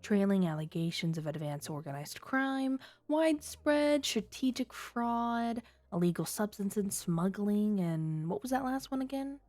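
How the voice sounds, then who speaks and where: A woman's voice: mid-range voice, expressive, unhurried, few pauses, a little mellow, no echo, natural voice. A woman playing a flirty, teasing female criminal who toys with a detective in a coy, sultry ASMR roleplay. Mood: mock impressed. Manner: coy, sultry, playful innuendo.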